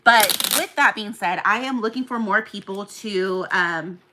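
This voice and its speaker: A woman's voice: mid-range voice, a little slow, background noise. A woman with a New Zealand accent chatting casually on a livestream as a seller and collector group admin, rambling with lots of fillers and restarts.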